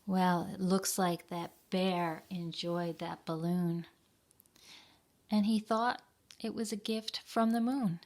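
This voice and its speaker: A woman reading aloud and talking simply and gently to young children as a storytime leader. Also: on the low side, fairly even intonation, slow pace. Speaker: children's library storytime reader.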